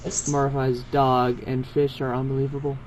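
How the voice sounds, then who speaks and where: A man's voice: mid-range voice, flat delivery, normal speed, low-quality recording. A man reading fan fiction aloud, narrating and voicing dialogue, sometimes stumbling over long words. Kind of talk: scripted story narration read aloud, with character dialogue. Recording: amateur recording with background noise.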